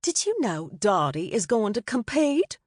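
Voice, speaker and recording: A woman voicing a fussy, gossiping older Southern lady who talks in a prim, drawn-out, scandalized way. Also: medium pitch, very animated, dry recording, clean studio sound.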